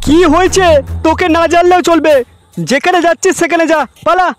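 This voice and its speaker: A hot-headed little village hawker a comedy cartoon. The voice is artificially raised extremely high and calls out loudly, over faint music. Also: male voice, steady tone, brisk. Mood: angry. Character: quick-tempered village man selling ghugni street snacks. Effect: pitch-shifted cartoon voice.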